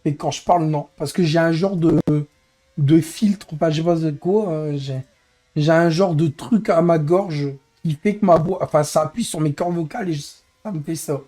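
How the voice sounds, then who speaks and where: A hoarse man who is losing his voice, grumbling in exasperation as he comments live on his racing game stream. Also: a little high, normal speed, warm tone. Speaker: streamer and racing league head.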